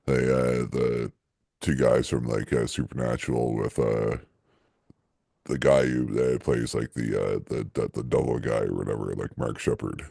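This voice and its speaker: A man's voice: very deep voice, fairly even intonation, creaky, warm tone, slightly rough, dry recording, clean studio sound. A man co-hosting a podcast, rambling casually with lots of fillers and restarts. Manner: rambling, halting, many fillers and restarts, laughs often. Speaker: podcast co-host. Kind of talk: casual chat.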